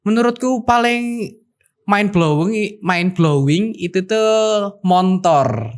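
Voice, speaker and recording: Student co-host in their twenties, lilt, relaxed and rambling, thinks out loud. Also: mid-range voice, slow pace. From Central Java, Indonesia. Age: 20s. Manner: chatty, thinks aloud with fillers. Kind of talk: casual chat.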